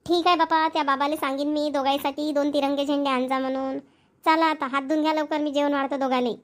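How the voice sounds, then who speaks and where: A woman plays a cartoon village mother who nags and scolds her children. Her voice is high and the words come very fast, in a rural Vidarbha Marathi accent. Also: little pitch movement, close to an everyday voice. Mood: matter-of-fact. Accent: Maharashtrian, Vidarbha Marathi. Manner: nagging, scolding. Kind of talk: cartoon family comedy dialogue.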